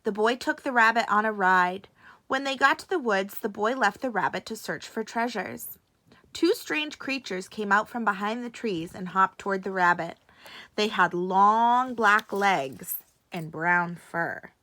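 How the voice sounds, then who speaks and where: Bright-voiced woman reading a children's story. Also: mid-range voice, unhurried. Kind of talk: expressive bedtime story narration.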